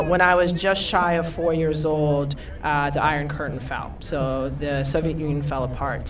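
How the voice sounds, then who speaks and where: Low-voiced woman telling her story. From Eastern Europe. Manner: animated storyteller, laughs often. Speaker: panelist. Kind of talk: personal story on a panel. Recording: far from the mic, crowd behind.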